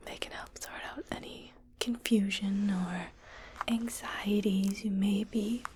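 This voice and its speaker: Woman playing a whispering, breathy spaceship doctor. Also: medium pitch, steady tone. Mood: calm, clinical. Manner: slow, gentle, soothing. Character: calm, reassuring female spaceship doctor examining crew. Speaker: ASMR roleplayer. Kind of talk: soft ASMR medical roleplay.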